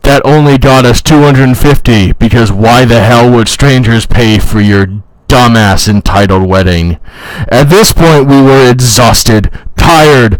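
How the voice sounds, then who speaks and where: An entitled, melodramatic bride-to-be, acted out by a man in a comedic dramatic reading. The delivery is slow and indignant, full of self-pity and swearing. The pitch sits mid-range for a man and the sound is close and dry. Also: dry recording, lightly acted, low-quality recording. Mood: exasperated. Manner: theatrical, indignant, profane.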